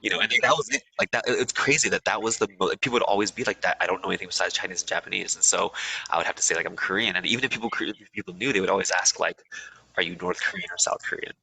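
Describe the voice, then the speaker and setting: A man's voice: low-pitched, very fast, vocal fry, bright, forceful tone, slightly rough, rough recording. A young American man from California, talking casually as an interview guest, with many ums and restarts. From USA.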